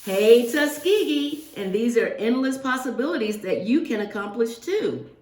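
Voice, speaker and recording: A woman's voice: on the high side, animated, normal speed, darkish tone. A woman reading a picture book aloud to young pupils, expressively, with asides and questions addressed to the children. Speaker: guest reader for a second-grade class. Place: indoors, reading remotely to a class. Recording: roomy, echoing sound of a virtual class reading.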